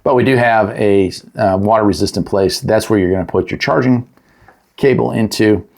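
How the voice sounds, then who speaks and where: A man's voice: lowish voice, moderate pace, gravelly, a little mellow. A middle-aged man giving a casual, unscripted product review in a folksy, chatty, plain-spoken way. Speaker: host of a product review channel.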